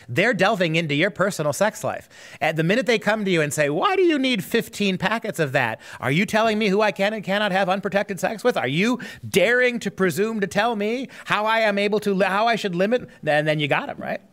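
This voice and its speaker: A man's voice: sits high, a bit bright. A man hosting a talk show, sarcastic and emphatic. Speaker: host.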